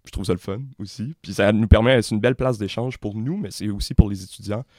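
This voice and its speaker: A young man with a Québécois accent, a student hosting a podcast, speaking in a friendly, halting way with many restarts. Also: on the low side, darkish tone, no echo, clean studio sound. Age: young adult. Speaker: podcast host, music student. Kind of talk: casual podcast interview.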